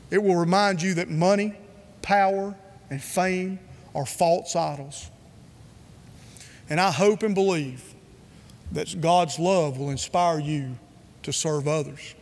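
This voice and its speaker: A man from North Carolina, giving an earnest, measured prepared speech with emphatic repetition. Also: on the high side, long reverb tail. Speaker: speaker.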